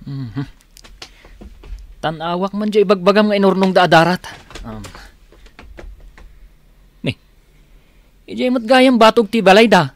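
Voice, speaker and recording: An actress playing a reluctant grown child calming a parent, with an Ilocano Filipino accent in a radio drama. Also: female voice, mid-range voice, a little slow, pauses often, lightly acted. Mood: protesting.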